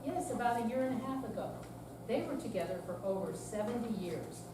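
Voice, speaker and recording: Heard from a distance in a very reverberant room with steady noise, this is an actress playing a seventy-year-old woman in a staged reading. She is earnest and confiding, weary yet resolute, and speaks in a deliberate, scripted way. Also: fairly high pitch, natural voice. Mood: earnest, troubled. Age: older. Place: senior center room, live performance. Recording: echoey room, distant mic, clear background noise.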